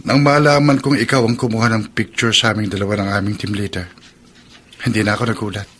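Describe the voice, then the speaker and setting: An actor playing a sincere, forgiving young boyfriend with a mildly preachy manner in a Filipino radio drama. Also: male voice, fairly low pitch, fairly even intonation, quick pace, a bit bright, slight background noise, natural voice. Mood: calm, earnest. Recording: music bed under the voice.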